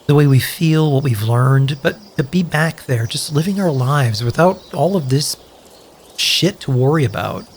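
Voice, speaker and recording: An actor playing a sincere, joking wasteland survivor in an audio drama, speaking earnestly and hesitantly with wry, profane humour. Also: male voice, fairly high pitch, animated, a little slow, slight background noise. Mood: wistful. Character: sincere, grateful, joking male wasteland survivor, loyal friend. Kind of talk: audio drama dialogue, naturalistic.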